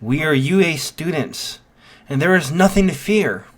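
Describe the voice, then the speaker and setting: Man as earnest young student, formal, emphatic, higher pitched, loud, commanding, anime storytelling. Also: lively intonation, a little slow. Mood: urgent, commanding. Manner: formal, emphatic, loudly projecting.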